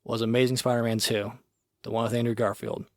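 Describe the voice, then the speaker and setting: A man's voice: medium pitch, little pitch movement, quick pace, no echo, clean studio sound. Laid-back man rambling with lots of "like". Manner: rambling, filler-heavy, often says "like". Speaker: podcast co-host. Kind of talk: casual chat.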